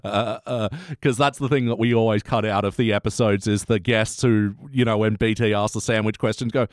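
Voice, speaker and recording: A man's voice: fairly low pitch, fairly even intonation, a little fast, dry recording, clean studio sound. A man hosting a casual review podcast, chatting in a jokey way and often chuckling. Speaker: podcast host.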